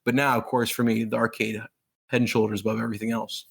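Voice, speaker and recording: A man's voice: fairly low pitch, level tone, fast, gravelly, breathy edge. A man in his thirties who grew up in Pakistan, a guest author talking casually and enthusiastically in an interview, with many fillers and restarts.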